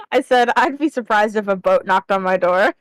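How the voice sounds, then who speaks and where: A woman's voice: medium pitch, animated, moderate pace, no echo. A woman chatting casually and jokingly as a guest on a group stream. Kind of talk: casual chat.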